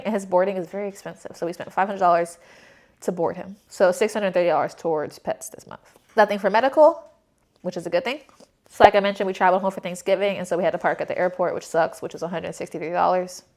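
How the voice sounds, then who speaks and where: Fast-talking young woman, casual and chatty. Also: medium pitch, darkish tone. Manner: chatty and candid, informal slang. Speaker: personal finance vlogger. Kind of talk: casual explanatory budget recap.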